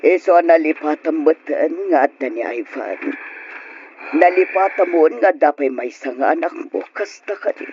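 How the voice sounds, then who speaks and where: A woman's voice: low-pitched, expressive, normal speed. Muffled old radio sound with faint noise carries a middle-aged older sister. She scolds earnestly, with worry under every admonishment. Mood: scolding. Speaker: radio drama actor. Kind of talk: old Ilocano radio drama acting.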